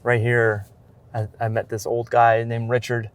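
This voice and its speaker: A man chatting casually and informally as a documentary photographer on the road, in a loose, halting way. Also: medium pitch, completely flat delivery, clear, resonant tone. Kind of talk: casual vlog chat while out shooting.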